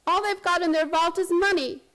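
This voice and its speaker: Actress as an earnest, high-voiced woman teacher, pleading. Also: steady tone, fairly quick, a bit bright. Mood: desperate, pleading. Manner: pleading, intense, confiding. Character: earnest, distraught female high school physics teacher pleading for help. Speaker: narrator and lead character of radio play. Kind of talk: radio drama acting.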